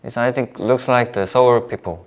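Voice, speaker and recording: A man interviewing in casual, halting English with simple phrasing. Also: medium pitch, steady tone, a little hiss or hum.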